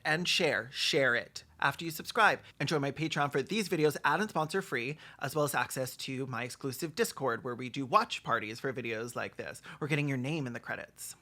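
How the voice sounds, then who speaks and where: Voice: mid-range voice, sharp tone. A video host giving sarcastic, crude comic commentary in a dry, snarky manner. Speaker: host of a reaction video show.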